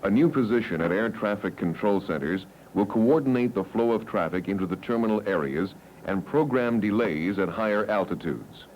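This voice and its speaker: Deep-voiced American man, measured instructional narrator for a 1970s training film, slight background noise. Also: animated, darkish tone, dull audio. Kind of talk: scripted narration.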